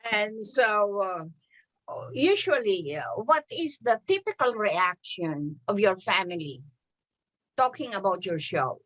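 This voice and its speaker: A woman hosting a community TV interview, asking questions hesitantly with many pauses and fillers. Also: medium pitch, big pitch swings. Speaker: TV show host. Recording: dull lo-fi TV audio.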